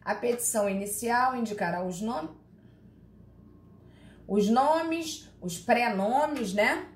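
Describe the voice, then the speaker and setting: A woman from Rio de Janeiro with a Carioca accent, giving a law class online and chatting with her students in a lively, teasing way. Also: a little high, takes it slowly. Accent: Carioca Portuguese. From Rio de Janeiro, Brazil. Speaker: law lecturer. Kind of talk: live online class, reading chat questions. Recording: echoey room with faint ambience.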